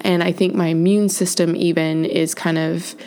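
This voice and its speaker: A woman's voice: lowish voice, level tone, normal speed, darkish tone, slight background noise. A woman hosting her own podcast, sounding stuffy and congested as she rambles through a chatty, self-correcting personal update early in the morning. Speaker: podcast host. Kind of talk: casual solo life update.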